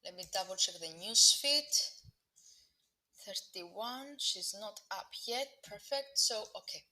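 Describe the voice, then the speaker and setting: A young Greek Cypriot woman walks viewers through live market commentary in a very bright, crisp-toned voice. She hesitates often, filling gaps with ums and restarting phrases, and breaks off to address the audience directly. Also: medium pitch, expressive, takes it slowly, poor audio. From Cyprus. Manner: hesitant, many fillers and restarts, chatty asides to viewers. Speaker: market analyst presenting a live webinar.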